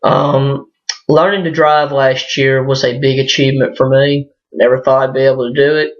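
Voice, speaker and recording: Hoarse guy rambling through a vlog, lots of ums and restarts, kinda dull lo-fi built-in mic sound. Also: medium pitch, flat delivery. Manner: halting, rambling, many fillers and restarts. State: hoarse, voice cracking from a sinus illness. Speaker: vlogger. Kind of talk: casual personal vlog monologue. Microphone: built-in device mic, no external microphone.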